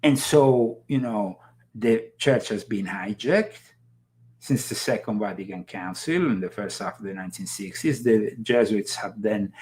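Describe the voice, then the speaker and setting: A man's voice: lowish voice, measured pace, a little mellow. A man hosting a live commentary show, talking in a rambling way with many fillers and restarts and bursts of emphasis. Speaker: host.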